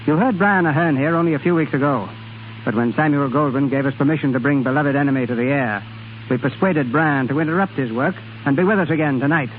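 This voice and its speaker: A man's voice: a little high, quick pace, phone-quality sound, slight background noise. A man hosting and narrating a 1930s radio theatre programme in scripted, period style. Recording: thin 1930s radio broadcast, faint music.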